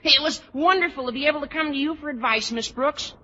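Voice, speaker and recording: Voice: high voice, fast, sharp tone. An actor playing a gawky, tactless, lovestruck teenage schoolboy with an American accent in an old-time radio sitcom. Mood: earnest, tactless. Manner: gushing, guileless, tactless. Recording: muffled vintage radio recording, faint noise.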